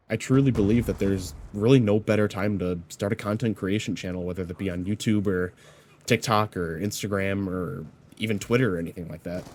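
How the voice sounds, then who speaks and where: A man's voice: on the low side, a little mellow, a little hiss or hum. A man of twenty-four who is a little sick and congested, talking earnestly and reflectively in a personal vlog, rambling with lots of "you know" fillers. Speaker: YouTuber.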